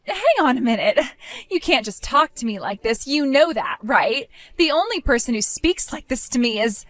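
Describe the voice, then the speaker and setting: A woman voicing a spiteful, bratty young woman in an animated story, with a mocking, whiny, shrill manner. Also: moderate pace, dry recording. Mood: indignant.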